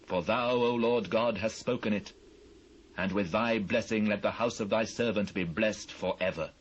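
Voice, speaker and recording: An actor with a British accent playing a devout, gracious ancient king in a solemn, measured dramatised scripture reading. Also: fairly low pitch, muffled recording, close to an everyday voice. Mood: reverent prayer. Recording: noisy old cassette transfer.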